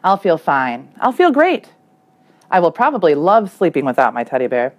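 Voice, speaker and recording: A woman's voice: deep voice, sing-song, moderate pace. A woman voicing an anxious, earnest young boy in a children's picture book read aloud. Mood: indignant bravado. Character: anxious young boy narrator wanting his teddy bear.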